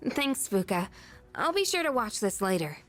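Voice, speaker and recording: A woman's voice: mid-range voice, big pitch swings, moderate pace, fairly bright tone, soft background music. A woman playing an earnest, troubled teenage schoolgirl in an anime-style game dub. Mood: subdued, concerned. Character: troubled, earnest teenage schoolgirl fighter, daughter of a late scientist.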